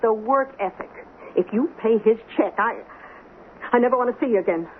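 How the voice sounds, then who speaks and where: An actress playing a stern, principled diner owner, prim and emphatic, scolding in 1970s radio drama style. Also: female voice, a little high, a little fast, lightly acted. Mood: indignant. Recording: thin phone-like old radio, faint noise.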